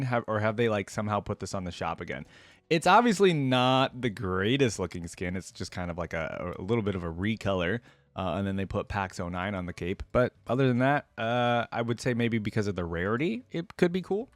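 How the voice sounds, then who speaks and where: A man giving casual reaction commentary as a video host, informal and thinking out loud as he goes. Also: mid-range voice, no echo.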